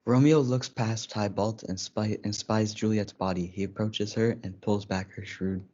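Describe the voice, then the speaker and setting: A man's voice: fairly low pitch, steady tone, normal speed, a little mellow. A male student reading scripted narration for a school reader's theatre play, sometimes stumbling over words. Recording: dull lo-fi audio.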